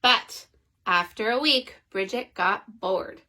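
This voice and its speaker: Woman, friendly story time reader, expressive, home bedroom, clear bright tone. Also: medium pitch, slow. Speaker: storyteller reading to children. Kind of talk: children's story read-aloud.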